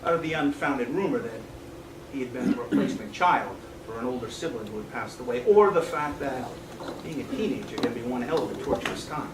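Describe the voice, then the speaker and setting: An actor playing a weary, regretful middle-aged man in a live stage play, speaking in a reflective, halting, defensive way. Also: mid-range voice, animated, lightly acted. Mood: reflective, brooding. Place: small theatre stage, indoors. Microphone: far camera. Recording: noisy, echoey theatre stage from far off.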